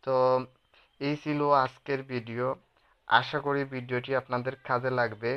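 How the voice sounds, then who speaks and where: Bangladeshi guy doing an app how-to, clean smooth voice, pretty monotone, bit lo-fi. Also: mid-range voice, unhurried. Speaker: tech tutorial host. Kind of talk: tutorial narration for a phone app.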